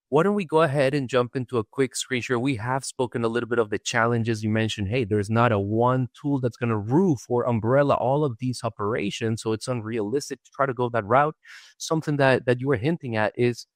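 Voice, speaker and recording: Man, medium pitch, podcast interviewer, conversational, recapping and prompting, dry close recording. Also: dry recording.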